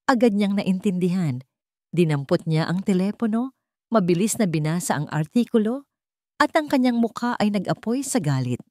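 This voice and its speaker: Babaeng tagapagsalaysay na Pilipina, pabago-bago ang tono. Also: female voice, lowish voice, a little mellow, dry recording, studio quality. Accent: Filipino. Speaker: story narrator. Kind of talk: dramatic scripted storytelling.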